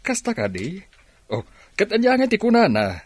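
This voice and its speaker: A man acting a gentle, caring father in an Ilocano radio drama, with an Ilocano Filipino accent. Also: sits high, wildly swooping pitch, moderate pace, heavily acted. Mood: grateful.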